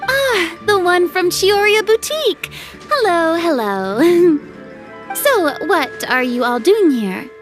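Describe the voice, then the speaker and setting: Very high, flustered theatrical young lady. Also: animated, takes it slowly, full character voice. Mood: flustered, courteous. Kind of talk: English video game voice acting. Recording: music clearly behind the voice.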